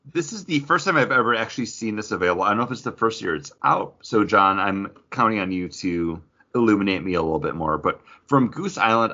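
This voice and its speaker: A man's voice: fairly low pitch, sharp tone. A man chatting casually as a podcast co-host, reviewing craft beer. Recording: dull lo-fi audio.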